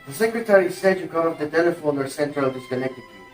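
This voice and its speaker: A teenage boy playing a formal, deferential butler in an amateur play, announcing politely and with measured words. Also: fairly high pitch, close to an everyday voice. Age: teens. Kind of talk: amateur stage-play acting. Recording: roomy, distant mic, music behind.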